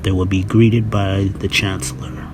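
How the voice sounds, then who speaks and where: A man's voice: sits low, level tone, measured pace. A man narrating a scripted science-fiction story for an audio drama. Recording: very loud music bed over narration.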